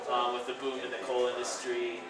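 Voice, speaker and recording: A man's voice: mid-range voice, completely flat delivery, fairly quick. Young man answering in an echoey cafe. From Michigan. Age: 20s. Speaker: college student guest. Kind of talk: casual interview answers. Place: coffee shop. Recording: echoey room, mic far off, crowd around.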